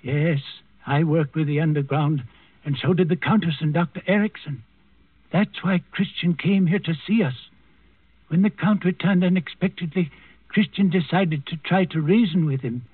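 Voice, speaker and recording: An actor playing an old Danish servant and secret resister, telling his story deliberately in a 1940s radio drama. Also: a little high, husky, no room sound. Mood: grimly confiding. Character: old Danish servant, secret underground plotter, quietly defiant. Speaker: first-person storyteller in a radio play. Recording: muffled 1940s radio recording with music.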